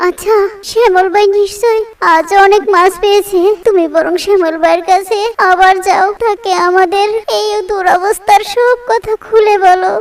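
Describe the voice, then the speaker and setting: A devout, patient cartoon village wife played by a woman, with an extremely high voice. She sounds gentle and pleading, a little away from the microphone. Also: recorded from a distance. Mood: urging. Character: fisherman's devout, patient, consoling young wife. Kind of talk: dramatic cartoon dialogue.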